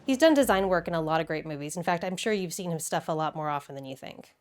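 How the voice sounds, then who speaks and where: Woman, slightly low voice, casual video essay host, enthusiastic, wry asides, music underneath. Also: animated, quick pace, a little mellow.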